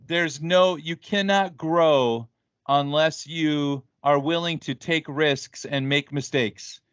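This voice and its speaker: A man's voice: a little high, slow, fairly bright tone, clear, resonant tone, no echo. An American man talking thoughtfully and measuredly in casual conversation, with light laughs. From United States. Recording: dull lo-fi, dry audio.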